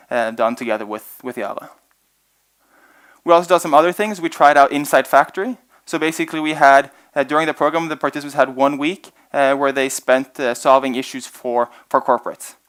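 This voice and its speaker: A man's voice: medium pitch, a bit bright, clear, resonant tone. A young man presenting his organization on stage to an audience, earnest and full of "uh" fillers. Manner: fast, earnest, many "uh" fillers. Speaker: organization representative presenting at event.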